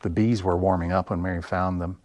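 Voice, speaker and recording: A man reading picture books aloud for children in an expressive, deliberate storytelling style. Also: low voice, dry recording. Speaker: library story time reader. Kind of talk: animated picture-book narration for children.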